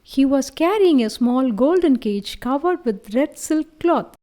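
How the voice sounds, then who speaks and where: A woman narrating scripted stories for children in an expressive manner, as a storyteller. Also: high-pitched, measured pace. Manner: expressive, addresses listeners as friends.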